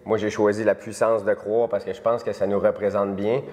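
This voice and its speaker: A low-voiced Québécois man hosts a podcast in a relaxed, chatty way and often breaks in with quick asides. His voice trails off into a long, hall-like reverb. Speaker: podcast host. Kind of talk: casual podcast interview.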